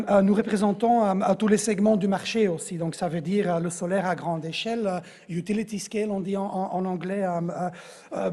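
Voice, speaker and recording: A man's voice: high voice, mellow. A man with a Flemish accent and native Dutch, giving a conference talk with constant hesitations and restarts. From Belgium. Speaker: conference speaker. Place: large conference venue. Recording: echoey conference hall.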